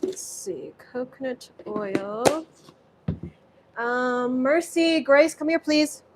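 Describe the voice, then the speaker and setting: A woman from Southeast Asia with a slightly high voice hosts a live cooking stream from her home kitchen. She rambles chattily, restarts her sentences and reads viewer comments aloud, over a faint hum of room noise. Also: animated, drawn-out words. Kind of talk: casual live-stream chat while cooking.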